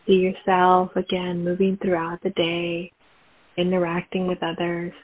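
A woman leading a guided meditation, speaking in slow, calm, repetitive prompts. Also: on the low side, low-quality recording.

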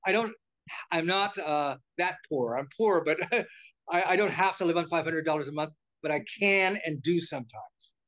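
A man's voice: fairly high pitch, moderate pace, a bit bright. An older American man being interviewed, talking casually and rambling, repeating words and restarting sentences as he goes. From United States.

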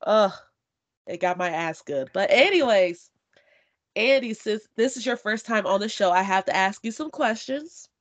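Female podcast host, casual and blunt, sounding stuffy, medium pitch. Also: lively intonation, normal speed. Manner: casual, swears freely. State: stuffy from allergies.

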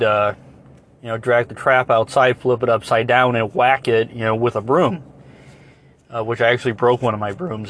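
A hoarse man hosting a solo podcast, chatting in a rambling, folksy, apologetic way as he gives a casual update. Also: mid-range voice, a little hiss or hum. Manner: folksy, apologetic, mild euphemisms. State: hoarse, clearing throat. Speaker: podcast host.

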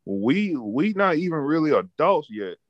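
A man's voice: fairly high pitch, sing-song, unhurried, no echo, highs cut off. A man in his twenties chatting casually, rambling and profane, often repeating words as he starts a thought. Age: 20s. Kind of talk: casual chat.

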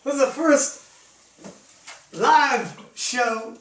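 A man's voice: very high voice, expressive, drawn-out words, fairly bright tone, distant mic, slight background noise. A young man from Canada hosting a casual live interview show, chatty and laughing often, full of fillers. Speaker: host. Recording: roomy sound, mic set back.

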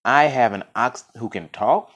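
A poor, kindly farmer from a folk tale, as a male storyteller plays him. He has a fairly low voice and speaks plainly, with earnest emphasis. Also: expressive, normal speed, lo-fi sound, lightly acted. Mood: astonished, doubtful.

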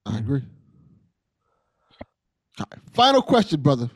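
A man's voice: medium pitch, huge pitch swings, measured pace, breathy edge, no echo, clean studio sound. A man hosting a casual interview, talking in an informal, slangy, buddy-like way. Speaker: podcast host.

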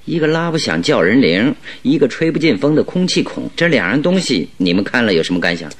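一位男演员在广播剧中饰演自信、善于追问的侦探，说话从容推敲，带有戏剧腔。. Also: male voice, medium pitch, big pitch swings. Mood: probing. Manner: inquisitive, deliberate, theatrical. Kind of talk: Chinese radio drama acting.

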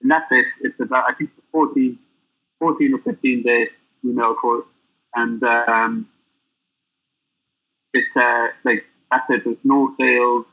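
A man being interviewed as a guest, telling stories in a rambling, halting way. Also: mid-range voice, steady tone, faint music behind. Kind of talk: casual interview chat. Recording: tinny phone-line audio.

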